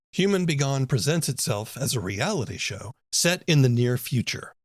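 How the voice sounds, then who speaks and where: A man's voice: medium pitch, no room sound. A man hosting a podcast, reading scripted narration into a close microphone. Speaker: podcast host.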